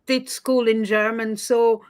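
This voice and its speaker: A woman's voice: a little high, level tone, slow, darkish tone, no echo. Swiss French woman on a dry call. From Switzerland, French-speaking. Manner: chatty, self-deprecating, laughs easily. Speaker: guest. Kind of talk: casual online interview chat.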